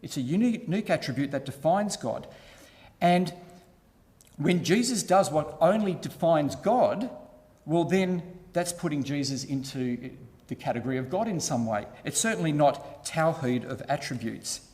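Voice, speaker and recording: A man's voice: fairly high pitch, expressive, normal speed, a little mellow, big-room sound. Methodical man arguing a point. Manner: methodical, repeating key points. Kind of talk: explanatory argument.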